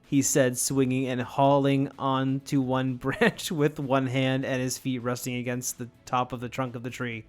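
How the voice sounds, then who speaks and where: A man's voice: medium pitch, level tone, unhurried, few pauses, darkish tone, soft background music. A young man reading a comic story aloud as narrator, in a casual way among friends.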